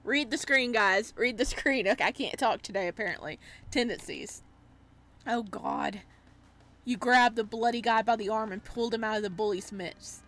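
A woman with a fairly high voice plays a visual novel on stream. She reads the narration aloud expressively. She often breaks off to react in a casual, exclaiming way. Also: moderate pace, fairly bright tone. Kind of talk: let's-play read-aloud with casual reactions.

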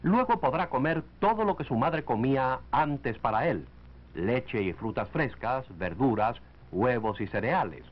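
A man reading scripted narration for a 1940s educational film, in a measured, didactic way. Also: mid-range voice, animated. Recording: dull lo-fi 1940s film soundtrack.

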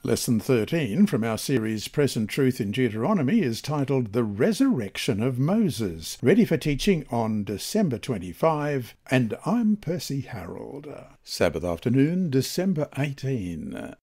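A man reads a scripted Bible lesson and prayer in a measured, reverent manner with deliberate phrasing. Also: medium pitch, sing-song, darkish tone, breathy edge. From Australia. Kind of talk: scripted devotional narration and prayer.

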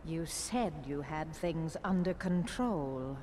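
Game villainess: commanding dark sorceress, icy hauteur, deliberate pace, slightly low register. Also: female voice, expressive, slight background noise. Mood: cold warning. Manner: measured, haughty, ominous. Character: regal, cold, commanding evil sorceress.

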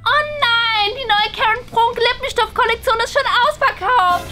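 A woman's voice: extremely high pitch, moderate pace. A woman playing a vain, dramatic, fashion-obsessed schoolgirl who whines and exaggerates in children's role-play comedy. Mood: whiny outrage. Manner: exaggerated, whiny.

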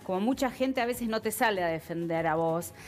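A woman's voice: medium pitch, a little fast, a little mellow, faint music behind. A middle-aged woman with an Argentine accent, hosting a TV studio show, earnest and encouraging. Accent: Argentine Spanish. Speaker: TV host. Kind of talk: TV panel talk. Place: TV studio set.

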